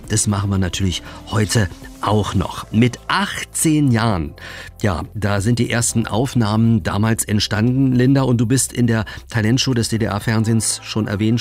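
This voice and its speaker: A man hosting a radio show who reads out listener mail and interviews a guest in an upbeat, slightly rushed way, with many fillers. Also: on the low side. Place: radio studio.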